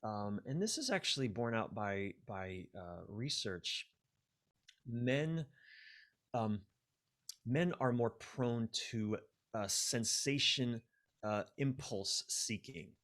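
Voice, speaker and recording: A man speaking as a coach and guest in a podcast conversation, explaining ideas reflectively and haltingly, repeating key words for emphasis. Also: medium pitch, animated, fairly bright tone.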